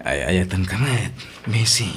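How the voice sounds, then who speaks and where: A man's voice: deep voice, lively intonation, unhurried, fairly bright tone, a little hiss or hum, heavily acted. A man acting in an Ilocano radio drama as a polite young boyfriend who is eager to please, with an Ilocano accent. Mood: tender.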